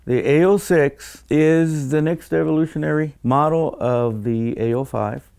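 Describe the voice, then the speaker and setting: A man speaking as a design engineer in an explanatory interview, measured and technical, often pausing mid-phrase. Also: a little high, vocal fry, a little mellow, clean tone.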